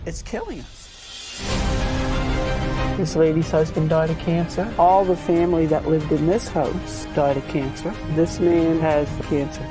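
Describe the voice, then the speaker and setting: A plain-spoken woman talks as a local in a documentary interview. Her voice is a little low, and music plays clearly beneath it. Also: fairly even intonation, unhurried. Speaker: community member in a documentary. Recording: documentary clip with music underneath.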